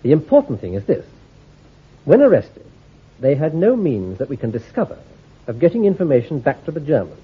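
An actor playing a shrewd army intelligence commander in a radio drama, issuing careful orders in a clipped, authoritative way. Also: male voice, fairly high pitch, huge pitch swings, a little fast, husky, exaggerated voice. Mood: commanding. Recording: tinny, phone-like old recording.

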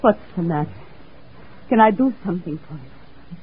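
A woman's voice: on the low side, expressive, moderate pace, slight background noise, lightly acted. An actress playing a doting mother who fusses and coaxes her son, in an old radio drama. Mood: coaxing. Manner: fussing, coaxing. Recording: tinny lo-fi radio recording.

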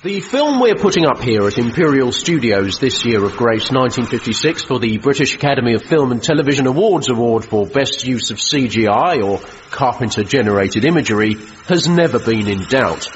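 A man's voice: medium pitch, natural voice. An actor playing a chatty, self-satisfied 1950s British film studio man presenting his effects work in a drily matter-of-fact way. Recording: muffled vintage film sound, loud background noise.

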